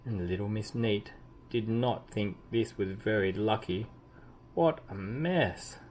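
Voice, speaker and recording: A man with a fairly low voice reads a picture book aloud for children. His delivery is expressive and deliberate, stretching out key words for emphasis. There is some noise behind the recording. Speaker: children's storybook reader. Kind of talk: expressive read-aloud storytelling. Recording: clearly audible background noise.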